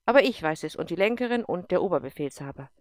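A woman reading aloud and voicing a strict, commanding female general who talks in clipped, forceful sentences. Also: on the low side, lively intonation, quick pace, no echo, studio quality, lightly acted. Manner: clipped, forceful, brooks no argument. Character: stern, commanding female general, middle-aged.

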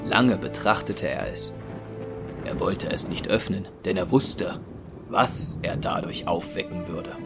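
A man narrates an audio drama in a lowish voice. His scripted delivery is solemn and dramatic, with prominent music underneath. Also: measured pace, vocal fry.

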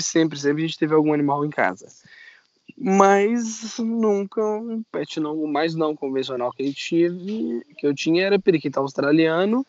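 A man in his thirties from Minas Gerais, Brazil, with a Minas Gerais accent, guesting on a podcast and telling stories in a relaxed, self-deprecating way. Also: fairly high pitch, dry recording. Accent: Minas Gerais Brazilian Portuguese. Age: 30s. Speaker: podcast guest, biologist and reptile breeder. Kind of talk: casual interview chat. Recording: dull lo-fi sound, dry.

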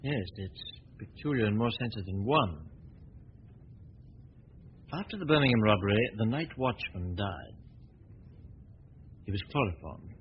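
An actor playing a suave, genial gentleman detective who talks in a relaxed, urbane way, in 1940s radio acting. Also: on the low side, measured pace, pauses often. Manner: relaxed, urbane, easy chuckles. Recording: muffled hissy 1940 radio recording.

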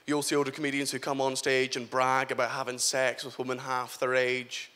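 A young man in his early twenties performs stand-up, with dry, self-deprecating punchlines. His voice is bright and forceful at a middle pitch. He is recorded on a live comedy stage, with faint noise in the background. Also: monotone, brisk. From Scotland.

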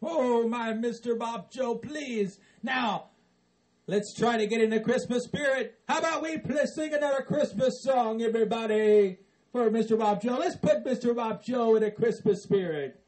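A man's voice: very high-pitched, normal speed, a little mellow, low-quality recording. A man playing a cheerful, Santa-like boss of the elves who coaxes in a sing-song way. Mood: jolly. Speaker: comedy character.

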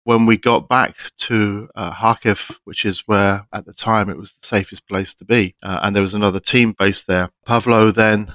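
A man who worked as an international monitor, telling his experiences in a measured, plainspoken way on a call. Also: on the low side, steady tone, fairly bright tone, dry recording. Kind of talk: recounting experiences in conversation. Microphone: call microphone.

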